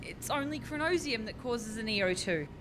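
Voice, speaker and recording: A woman's voice: lively intonation, normal speed, lightly acted. A woman playing an anxious, bossy scientist in a radio farce, exclaiming and cautioning in exasperation. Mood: cautious, brisk. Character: anxious, bossy female scientist juggling time-travel experiments. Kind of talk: radio play farce acting. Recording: clear background noise with low rumble.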